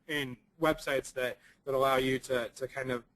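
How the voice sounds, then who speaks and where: Young male presenter, slightly raspy from a cold, halting delivery full of ums and restarts. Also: mid-range voice, steady tone, fairly bright tone. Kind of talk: recorded conference presentation.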